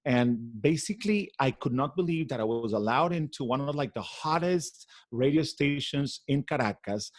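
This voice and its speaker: A man from Venezuela, a guest in an interview, telling his story in an animated way with many fillers. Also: mid-range voice, normal speed, few pauses. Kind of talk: conversational interview.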